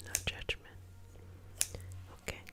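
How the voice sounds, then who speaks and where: A woman guiding an ASMR energy-healing meditation in a soothing, repetitive, gently encouraging manner. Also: slow. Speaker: ASMR reiki healer guiding a session.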